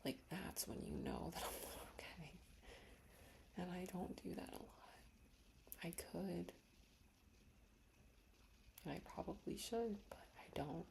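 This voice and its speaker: A young woman speaking in a soft, slow whisper, reflecting emotionally in a personal ASMR-style video. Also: on the low side, huge pitch swings, creaky, low-quality recording. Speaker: ASMR creator.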